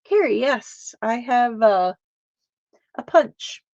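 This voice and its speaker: A woman's voice: on the high side, animated, slow, darkish tone, no room sound, dull audio. A woman hosting a casual live art stream, chatting and laughing with viewers as she works, with a slight Canadian accent. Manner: chatty, giggly, talks to viewers while crafting.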